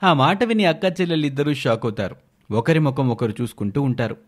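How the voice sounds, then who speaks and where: A man's voice: mid-range voice, quick pace. A male narrator with a mid-range voice reads a scripted moral story for animation. He describes the scenes as a storyteller.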